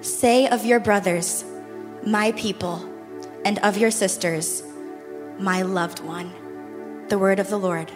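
Slow woman reading scripture over music. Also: mid-range voice, fairly even intonation. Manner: measured, deliberate phrasing. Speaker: scripture reader. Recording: hall reverb with music behind.

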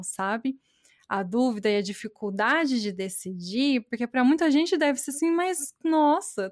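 A woman with a slightly high voice speaks softly and personally, often letting sentences fade into small tag questions. The sound is dry and close, with no room echo. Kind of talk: casual conversation.

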